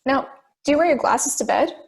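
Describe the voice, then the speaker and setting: A young woman acting a calm, persistent juror in an audio drama. The voice is high, with a deliberate, questioning delivery that reasons step by step. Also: gravelly. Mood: probing.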